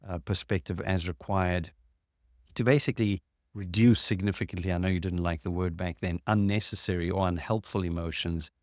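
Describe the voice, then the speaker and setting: A man hosting a podcast and explaining things in a measured, casual way, with frequent ums and uhs. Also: low voice, fairly even intonation, no room sound, studio quality. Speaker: podcast host and clinician.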